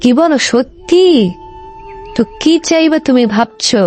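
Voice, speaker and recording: A woman voicing a nagging, bossy fox wife in a cartoon, insistent and quick to repeat herself. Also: high-pitched, a little mellow, faint music behind. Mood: excited, delighted. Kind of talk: cartoon story dialogue.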